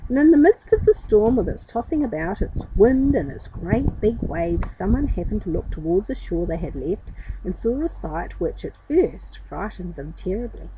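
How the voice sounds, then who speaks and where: A woman's voice: medium pitch, sing-song, normal speed, background noise. A woman reading a Bible story aloud to children, dramatically and addressing them directly.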